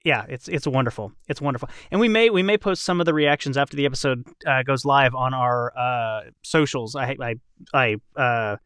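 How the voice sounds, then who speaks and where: A man's voice: mid-range voice, normal speed, a bit bright, clean tone, no room sound, studio quality. A man hosting a casual podcast panel, talking in a rambling way and qualifying himself as he goes. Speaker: podcast host and voice actor.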